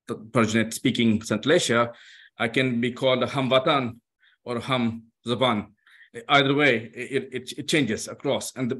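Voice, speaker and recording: Man speaking in halting academic English. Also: mid-range voice, a bit bright. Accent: foreign-accented English. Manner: halting, many fillers and restarts. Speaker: discussant. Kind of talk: academic discussion.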